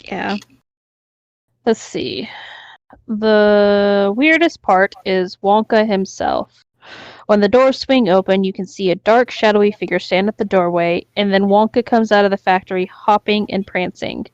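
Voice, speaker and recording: A woman's voice: mid-range voice, measured pace, clear, resonant tone, dry recording, muffled recording. A woman co-hosting a casual read-along, narrating a story and chatting briefly about it. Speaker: co-host reading stories aloud.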